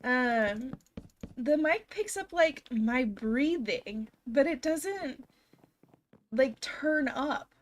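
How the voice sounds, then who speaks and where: A woman's voice: sits high, lively intonation, very slow. A young woman streaming from home, chatting casually to her viewers with a slightly scratchy, froggy voice from being sick, giggling often. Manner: chatty, giggly, talks to chat. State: hoarse, recovering from illness. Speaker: game streamer. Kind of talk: casual live stream chat. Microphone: desk microphone.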